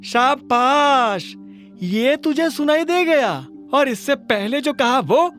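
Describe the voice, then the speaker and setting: A man's voice: very high voice, expressive, takes it slowly, a bit bright, faint music behind, voice pushed up, heavily acted. A man voicing a sly, bossy manager in a secret gang, scolding and emphatic, in an audio drama.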